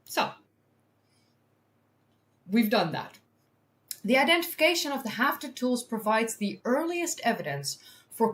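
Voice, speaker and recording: A Dutch-accented woman hosts a science livestream in her second language. Her pitch swings widely as she chats excitably with viewers, swearing casually and making fun of herself. She talks at an unhurried pace. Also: a little hiss or hum. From Netherlands. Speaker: science communicator and livestream host. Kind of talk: casual livestream chat, reading from a study.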